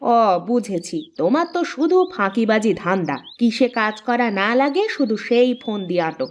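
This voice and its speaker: A woman playing a nagging, exasperated village housewife in a children's cartoon, scolding and sharp-tongued, on a slightly dull recording. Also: a little high, sing-song, normal speed, slight background noise. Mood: scolding, scornful. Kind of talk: Bengali children's cartoon dubbing.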